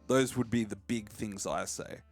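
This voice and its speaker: A man's voice: fairly low pitch, normal speed, faint music behind. A man talking casually and bluntly, with some swearing, as a panelist in an opinionated discussion. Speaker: podcast panelist.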